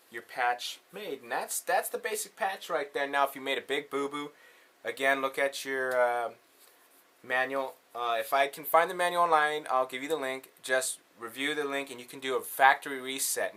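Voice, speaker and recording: A man's voice: medium pitch, normal speed, pushed and bright. A man hosting a casual hands-on tutorial, talking in an informal, slangy way. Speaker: tutorial host.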